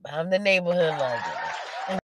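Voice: medium pitch, fairly quick, crowd noise, noisy background. A podcast host with a stuffy nose, rambling playfully and swearing freely in a casual solo monologue from inside a parked car at night. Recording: music playing behind the voice.

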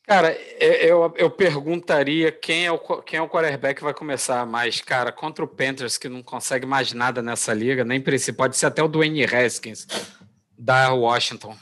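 A man's voice: medium pitch, fairly even intonation, normal speed, fairly bright tone. A man co-hosting a casual football podcast, easygoing and analytical about matchups. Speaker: podcast co-host, NFL picks. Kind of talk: casual sports chat.

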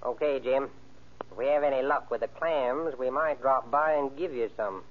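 A man's voice: medium pitch, normal speed, lightly acted. A man acting as a cold, cocky gangster ringleader in an old-time radio crime drama, with slangy, bossy tough-guy talk. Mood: casual. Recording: tinny, band-limited old radio recording.

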